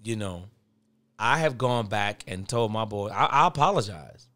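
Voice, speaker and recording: A middle-aged man with a big voice that sits on the low side. He talks earnestly and candidly, swelling in volume when he presses a point. The sound is close and dry. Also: animated, normal speed, no room sound. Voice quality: big, naturally forceful voice. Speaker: guest, father of boys. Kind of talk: casual podcast conversation.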